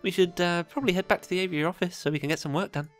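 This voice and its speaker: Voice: mid-range voice, very fast, voice pushed up, lightly acted. A game player voicing a small, earnest young male sparrow detective in a polite, hesitant way, with faint music behind. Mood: concerned.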